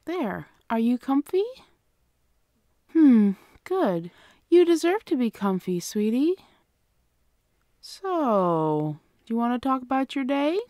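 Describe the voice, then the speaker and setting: A woman playing an affectionate, protective, gently bossy girlfriend in an ASMR roleplay, speaking tenderly and coaxingly. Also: sits high, big pitch swings, takes it slowly, long pauses, no room sound, clean studio sound, exaggerated voice. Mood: tender, soothing.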